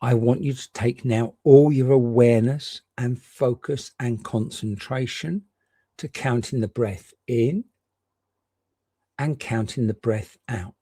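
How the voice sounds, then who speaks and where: A man's voice: mid-range voice, breathy edge, dry recording. Soothing man guiding a meditation. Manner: gentle, reassuring, unhurried with pauses. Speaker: meditation guide. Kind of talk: guided meditation.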